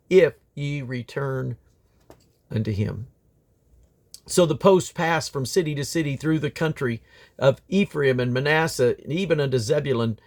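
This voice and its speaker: A man reading scripture aloud as a Bible reader, in a solemn, declamatory manner. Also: medium pitch.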